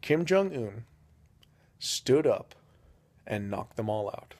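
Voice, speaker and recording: Young guy, deadpan comic storyteller, rambles with lots of fillers. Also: mid-range voice, slow. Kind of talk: improvised comedic storytelling.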